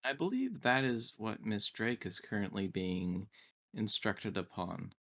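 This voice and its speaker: A man's voice: fairly low pitch, very animated, unhurried, fairly bright tone, full character voice. A man voicing a polite, helpful android crew member in a tabletop sci-fi game, speaking formally and precisely. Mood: formal, dispassionate. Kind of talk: in-character tabletop sci-fi roleplay.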